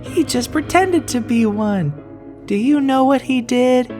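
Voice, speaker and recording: An uncanny, scolding mother, acted out by a man in a strained falsetto far above a natural register. She lectures in a creepy horror-story manner, with plucked guitar behind. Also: expressive, unhurried, darkish tone. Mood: eerie scolding. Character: unnatural falsetto mother, eerie scolding impostor.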